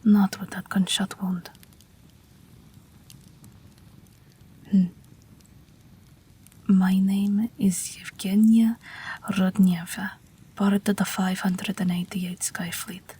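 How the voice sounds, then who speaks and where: A woman's voice: mid-range voice, flat delivery. A woman voicing an ageless Russian night witch pilot, wry, tender and weary, in an intimate, whispery audio roleplay. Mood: soothing, protective. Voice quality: breathy, whispery. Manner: gentle, dryly wry, unhurried.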